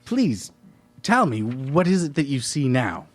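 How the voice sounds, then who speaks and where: A man's voice: mid-range voice, very animated, a little slow. An actor playing a pompous, thin-skinned male painter with florid, haughty diction in a live radio play. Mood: eager, self-important.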